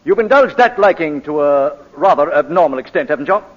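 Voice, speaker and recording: An actor playing a hostile defence barrister, formal, insinuating and accusing, in 1940s radio drama style. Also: male voice, fairly high pitch, animated, fairly quick. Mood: sardonic. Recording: muffled vintage 1940s radio broadcast.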